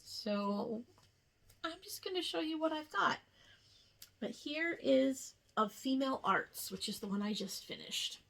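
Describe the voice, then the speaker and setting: A woman hosting a casual craft show-and-tell, chatting in an unhurried way with rambling asides. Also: sits high, expressive, creaky, a bit bright. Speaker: cross-stitch video host.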